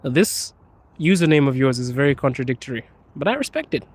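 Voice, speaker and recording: A man's voice: mid-range voice, expressive, fast talker. A man from Zimbabwe live-streaming outdoors on a phone, casually reading and joking about viewer comments as he walks. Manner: laughing, joking with chat while walking. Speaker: livestreamer. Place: outdoors in a cold city street.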